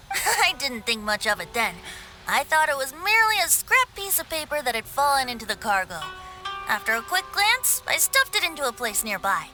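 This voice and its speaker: A woman's voice: sits high, very animated, normal speed, bright, forceful tone, heavily acted, low-quality recording. A woman voicing a boyish young male bard in a video game, breezy, vain and theatrical, with lilting, flowery phrasing. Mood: musing. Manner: lilting, flowery, self-satisfied. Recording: music behind the voice.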